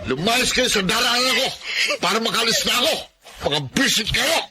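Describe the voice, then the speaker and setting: Violent stepfather, radio drama, very high voice, wildly varied pitch, taunting, greedy, threatening. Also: expressive, normal speed, a loud crowd. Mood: angry, demanding. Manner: threatening, taunting, barking demands. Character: violent, bullying stepfather with vices, greedy and menacing. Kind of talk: Tagalog radio drama acting.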